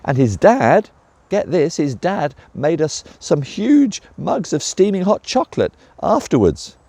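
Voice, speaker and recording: A man's voice: on the high side, sing-song, unhurried, mellow, dry recording, voice pushed up, exaggerated voice. A man voicing an enthusiastic young female dragon who gushes about her day. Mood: gushing.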